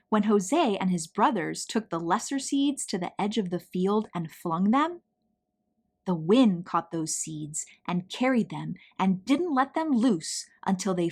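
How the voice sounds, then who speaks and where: Slow, animated woman reading a children's story. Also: medium pitch. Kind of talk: expressive read-aloud of a picture book.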